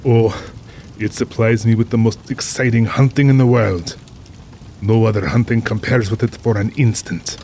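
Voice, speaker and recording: A man's voice: fairly low pitch, fairly even intonation, normal speed, warm tone, slight background noise, lightly acted. Man playing a suave, pompous aristocratic general, rain behind. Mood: amused, proud. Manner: pompous, suave, amused, formal diction. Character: urbane, cold-blooded older aristocratic general, a big-game hunter. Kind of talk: dramatized audiobook acting.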